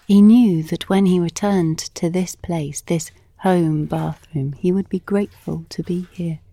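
Female narrator, intimate literary audio piece. Mellow, slightly low voice, hushed and measured. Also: fairly even intonation, dry recording. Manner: slow, hushed, measured delivery, often near whispering. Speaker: narrator and performer of an audio playlet.

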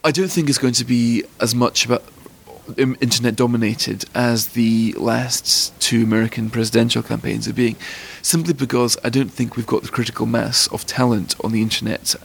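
A man with a posh Scottish accent, a magazine editor giving measured, articulate interview answers. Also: mid-range voice, steady tone, creaky, a little hiss or hum. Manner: articulate, fluent, considered.

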